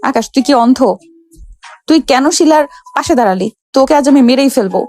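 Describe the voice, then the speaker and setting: Woman acting a high-voiced, haughty young wife. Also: expressive, fairly quick, a little mellow, a little hiss or hum. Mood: furious. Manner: scolding, bossy, dramatic. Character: haughty, hot-tempered young wife bossing her servant husband. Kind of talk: romantic audio story acting.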